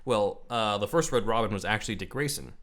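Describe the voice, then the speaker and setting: A man's voice: fairly low pitch, animated, brisk, a bit bright. A man hosting a podcast, explaining things casually and giving his opinions in a conversational way. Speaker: podcast host.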